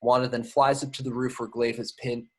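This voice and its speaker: A man's voice: medium pitch, flat delivery, fairly quick, a little mellow, husky. A man reading screenplay action lines at a table read, matter-of-fact with the odd stumble. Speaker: stage-direction reader.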